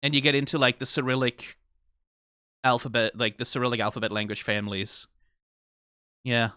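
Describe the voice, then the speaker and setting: A man's voice: medium pitch, steady tone, moderate pace, sharp tone, smooth, no room sound, studio quality. A Danish man with a Danish accent hosting a reaction video, rambling casually from tangent to tangent, swearing now and then and often asking "right?". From Denmark.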